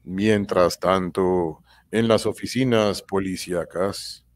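A man's voice: low-pitched, fairly even intonation, slow pace. A man reading scripted narration for a comic-book radio drama.

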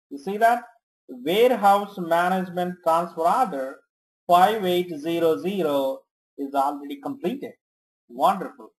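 Software trainer for screen tutorial: unhurried, slow delivery, short instructional commands with frequent check-ins. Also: medium pitch, rough recording. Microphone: screen-recording voice-over.

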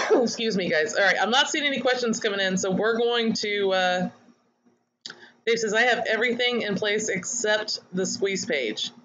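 A woman's voice: medium pitch, normal speed, fairly bright tone, lo-fi sound. A woman coaching online marketers in a webinar, chatty and candid as she reads out attendees' comments. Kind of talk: webinar teaching.

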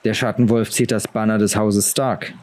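A man's voice: lowish voice, steady tone, normal speed, darkish tone, lightly acted. Man acting a serious, formal lord's son. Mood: earnest, formal. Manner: formal, careful. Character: serious, respectful teenage bastard son of a lord.

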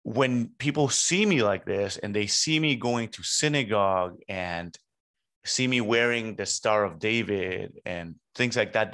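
A man's voice: fairly low pitch, fairly bright tone. A man in his thirties from Puerto Rico and New York, telling personal stories conversationally as a podcast guest. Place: small home studio room. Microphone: close podcast microphone on boom arm.

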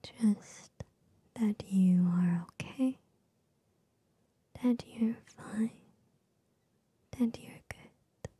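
Young woman doing soft asmr whispers right up on the mic, super mellow and dark, soothing, really slow. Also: medium pitch, slightly rough. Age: young adult. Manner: slow, gentle, soothing, repetitive. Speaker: ASMR host. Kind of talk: ASMR positive affirmations. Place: small bedroom with gaming setup, indoors. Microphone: large microphone very close to the mouth.

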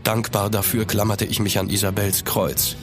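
A man's voice: fairly low pitch, flat delivery, moderate pace, music in the background, natural voice. An actor playing a grave, weary French aristocrat, narrating his past formally and with melancholy in a German audio drama. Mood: grave, melancholic. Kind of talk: scripted first-person narration in audio drama.

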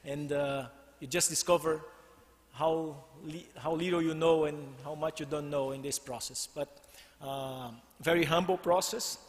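A man's voice: on the high side, fairly even intonation. A man in his forties with a Brazilian accent, giving a tribute talk at a podium and often restarting his phrases. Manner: halting, with many restarts and fillers. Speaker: conference speaker. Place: large glass-walled hall, indoors. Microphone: podium microphone. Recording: long hall reverb.

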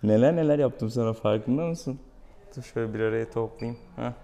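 A man's voice: mid-range voice, a little fast, creaky, warm tone. A young man, a veterinarian chatting casually and playfully coaxing a pet as he works. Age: young adult. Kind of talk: casual chat while treating an animal. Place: small tiled veterinary exam room. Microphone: camera a few steps away. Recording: noisy room, camera mic at distance.

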